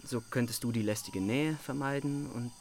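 An actor playing a courteous, lovestruck suitor in a radio play, with a hesitant, pleading manner. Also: male voice, medium pitch, moderate pace, gravelly, darkish tone, slight background noise, close to an everyday voice. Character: lovestruck, courteous male suitor, self-declared pessimist. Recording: outdoor ambience with chirping insects.

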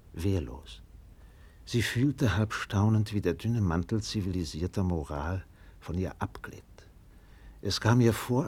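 Narrator for literary radio drama: man, low register, slightly rough tone, scripted storytelling. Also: a little mellow.

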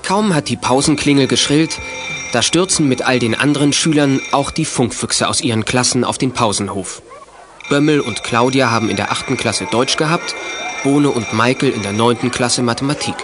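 A man's voice: medium pitch, normal speed, over music. A man narrating a children's audio drama as scripted storytelling.

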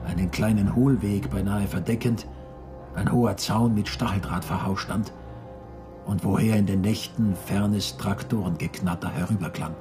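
A man's voice: on the low side, moderate pace. A man narrating a literary radio drama from a script. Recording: music under the voice.

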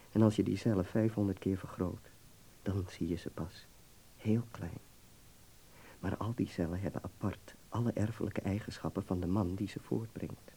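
A worldly young student speaks in a low, dark-toned voice. He is affectionate and teasing, philosophizing with fervour, in the style of a radio play. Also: rough recording. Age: young adult. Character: worldly, affectionate German medical student in Berlin. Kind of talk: Dutch radio play acting.

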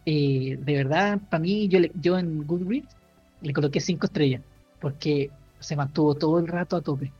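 A man's voice: a little high, mellow, husky, faint music behind. Rambling man with dull podcast audio. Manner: halting, many fillers and restarts while explaining. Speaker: podcast co-host. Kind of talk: casual chat recommending a book.

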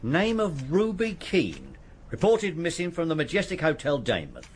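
Casting: helpful policeman in radio drama, highly varied intonation, plain-spoken, faint background noise. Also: huge pitch swings, moderate pace. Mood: businesslike. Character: helpful, businesslike policeman assisting an investigation.

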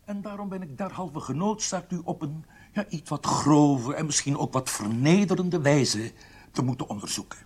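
A husky, somewhat high-voiced military prison doctor in a radio drama. He interrogates with measured, formal courtesy that carries menace. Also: darkish tone. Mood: politely threatening. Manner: measured, formal, pointedly polite.

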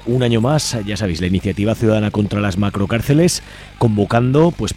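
A man's voice: lowish voice, a little mellow, a little hiss or hum. A man with an Aragonese accent from Spain hosting a casual community radio interview, colloquial and often checking for agreement. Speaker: radio show host interviewing a guest.

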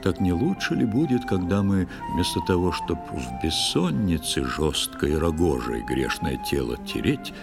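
An actor playing a sorrowful, pious old fisherman who tells his tale in expressive verse in an audio play. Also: low voice, measured pace, creaky, hardly any pauses, heavily acted. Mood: hospitable. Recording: long studio reverb over music.

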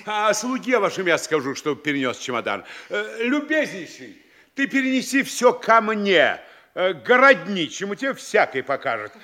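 An actor playing a fawning, scheming middle-aged town mayor in a classic Russian comedy audio play, obsequious and bossy by turns. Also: male voice, high voice, sing-song, moderate pace, sharp tone, full character voice. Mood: fawning, courteous. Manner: obsequious, swinging between oily courtesy and gruff muttering.

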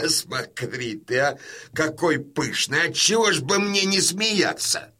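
Jovial old cossack father for a radio play, slow and bright, loud teasing type. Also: lively intonation, husky, full character voice. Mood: mock outrage. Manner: booming, jovial, teasing exclamations.

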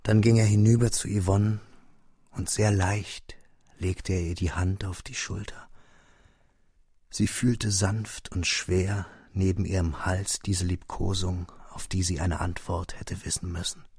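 An actor playing a wistful, restrained young man who narrates a radio drama in measured, hushed tones. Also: low-pitched, level tone, slightly rough, dry recording, clean studio sound. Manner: measured, hushed, drops to near whispers.

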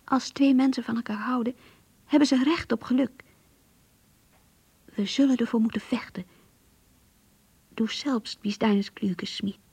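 A woman's voice: on the high side, measured pace, long pauses, dry recording, full character voice. An actress playing a young woman in love, reading her diary in a radio drama, intimate, yearning and earnest. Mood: yearning, determined. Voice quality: breathy, whispery. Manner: intimate, hushed, confiding.

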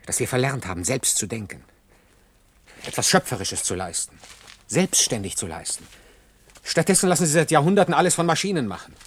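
An actor playing an idealistic, nonconformist programmer in a German science-fiction radio drama, speaking earnestly and emphatically. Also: male voice, medium pitch, very animated, fairly quick, pauses often, a little hiss or hum, lightly acted. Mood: bitter, earnest, then impassioned.

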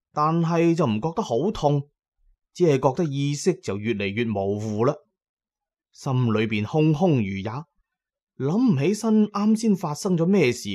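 A man's voice: on the high side, darkish tone. A man narrating a horror story as a theatrical, emphatic storyteller, with colloquial asides to his listeners.

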